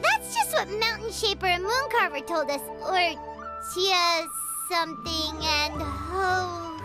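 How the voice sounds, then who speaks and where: Squeaky high fairy girl, whiny, bouncy, third-person talk, loud game music behind. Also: animated, takes it slowly, heavily acted. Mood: explaining. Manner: refers to herself in third person, exclaims often. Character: small floating female fairy companion, chatty and whiny.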